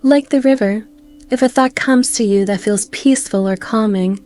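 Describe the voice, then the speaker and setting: A woman guiding a meditation in a gentle, soothing way, speaking in slow phrases with pauses. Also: fairly high pitch, soft background music. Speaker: meditation guide. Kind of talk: guided meditation narration.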